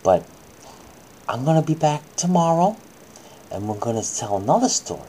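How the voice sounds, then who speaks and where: A woman's voice: deep voice, big pitch swings, normal speed, dark tone, a little hiss or hum. A woman acting out a bedtime story for children, moving between dramatic reading and coaxing, soothing asides.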